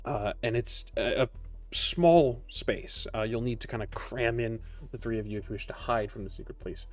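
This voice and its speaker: Male game master, average pitch, hesitant improvised storytelling, background music. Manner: halting, pauses often mid-sentence. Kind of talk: improvised narration of a tabletop roleplaying game. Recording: music behind the voice.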